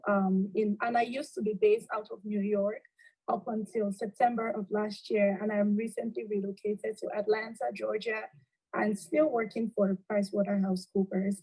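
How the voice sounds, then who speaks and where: A woman's voice: mid-range voice, warm tone, breathy edge, low-quality recording. A woman in her twenties from Cameroon with a Cameroonian accent, answering interview questions over a video call in a friendly way, with many ums and "you know". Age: 20s. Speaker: guest, alumna accountant.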